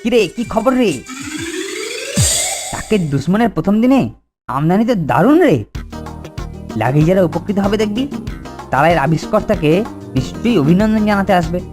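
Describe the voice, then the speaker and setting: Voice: medium pitch, animated, moderate pace, pauses often, close to an everyday voice. An adult voicing a pompous, scheming boy inventor, music behind. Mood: smug. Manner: pompous, self-important. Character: boastful, scheming older boy inventor selling hair tonic. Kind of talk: Bengali children's cartoon voice acting.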